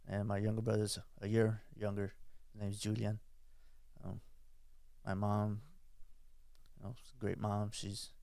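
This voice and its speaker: Male veteran guest, 40s, from South Texas, low gravelly voice, dry close sound. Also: no room sound. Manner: plainspoken, hesitant, many fillers. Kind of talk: casual interview.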